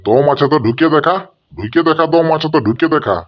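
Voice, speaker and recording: Cartoon exam gatekeeper, played by a man: stern and officious, mid-pitched, very quick scolding in a Purulia Bengali accent, dull recording. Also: slight background noise, natural voice. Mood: stubborn, challenging. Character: stern, rule-bound male exam gatekeeper. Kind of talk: comic cartoon dialogue.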